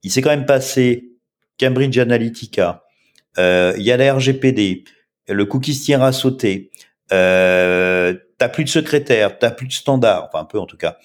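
A man's voice: medium pitch, moderate pace. A man in his fifties, a startup founder answering interview questions in a casual, rambling way with many filler sounds. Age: 50s.

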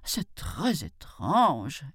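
A woman's voice: on the low side, very animated, takes it slowly, no room sound, studio quality, voice pushed up, full character voice. A woman voicing a sly jackal who feigns muddled stupidity, stammering and hesitant, in a storybook tale. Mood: puzzled.

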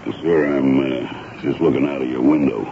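Gravelly, folksy old-radio army sergeant. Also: male voice, rumbling low, steady tone, moderate pace. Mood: dutiful. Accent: American. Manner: folksy deference, rustic grammar. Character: gruff, earnest, suspicious army sergeant, dutiful and folksy. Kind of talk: vintage radio drama acting. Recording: dull, noisy 1970s radio broadcast.

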